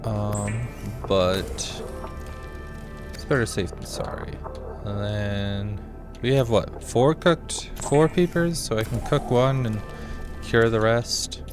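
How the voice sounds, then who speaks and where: A male streamer with a fairly low, slightly scratchy voice talks slowly through his game. He rambles and mutters his thoughts aloud in a casual way, with music playing clearly behind him. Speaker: small gaming streamer. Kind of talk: casual gameplay commentary, thinking aloud.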